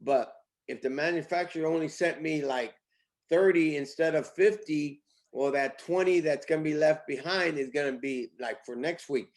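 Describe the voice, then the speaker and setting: Man pitching in a higher-set voice. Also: moderate pace. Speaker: company CEO, interview guest. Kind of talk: casual promotional interview, conversational pitch.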